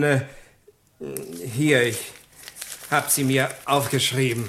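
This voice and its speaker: A man playing a careful subordinate policeman who reports haltingly in a German radio crime drama. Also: fairly high pitch, fairly bright tone.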